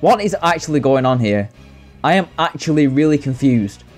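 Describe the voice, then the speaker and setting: A man's voice: on the high side, lively intonation, normal speed. A young man giving scripted video commentary with joking asides, sarcastic, sweary and mock-exasperated. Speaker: video essay host. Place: indoors, voice-over. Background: background music.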